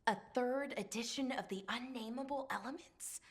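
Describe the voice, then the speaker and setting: A keen young book lover in a fantasy game. Her voice is a little high, breathy and husky, with a friendly eagerness. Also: moderate pace. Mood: delighted. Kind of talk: video game voice acting.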